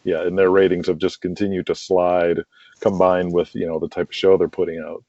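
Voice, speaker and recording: A man speaking as a guest in a casual conversational interview, chatty and quick to laugh, on muffled lo-fi audio. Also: low-pitched, level tone, warm tone, dry recording. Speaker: podcast guest, wrestling promoter.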